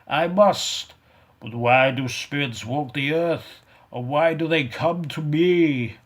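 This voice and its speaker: A man's voice: fairly high pitch, slow, pitched above the performer's own voice. A man voicing a frightened, stubborn miser who trembles and pleads with a ghost. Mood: pleading.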